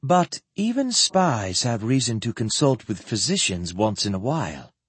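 A man plays a self-assured young woman in a storybook tale, calm and unruffled. The delivery is lilting and gently teasing, slightly husky, recorded dry and close. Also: fairly low pitch, moderate pace, no echo. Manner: murmuring, unruffled, gently mocking.

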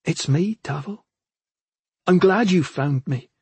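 A male narrator plays a young woman in a fantasy tale, an earnest and determined sister. The voice sits well above a man's usual pitch and sounds imploring. It is recorded dry and close. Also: slow, breathy edge, no echo, exaggerated voice. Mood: gentle, imploring. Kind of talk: fantasy audiobook character acting.

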